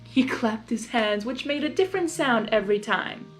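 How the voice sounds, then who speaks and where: A giggly, expressive woman reading a story and reacting casually as she goes. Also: on the high side, normal speed. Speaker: fan fiction reader. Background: music.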